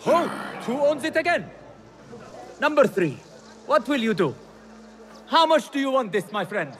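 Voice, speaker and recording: A man's voice: very high voice, very animated, a little slow, exaggerated voice. An actor playing a flamboyant auctioneer, showy and coaxing as he works a crowd of bidders in a video game. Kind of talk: theatrical auction patter. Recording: music and crowd murmur behind.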